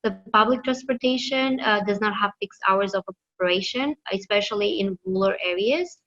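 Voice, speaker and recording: A woman giving a class presentation with slides, pausing often with fillers and restarting her sentences. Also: mid-range voice, fairly bright tone, dull audio. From Greece. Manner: hesitant, many fillers and restarts. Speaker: student presenter.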